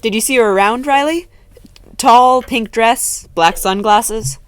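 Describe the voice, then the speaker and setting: A woman playing a gentle, hesitant, wryly playful friend in a naturalistic fiction podcast. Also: on the high side, measured pace, faint music behind, lightly acted. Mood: tentative.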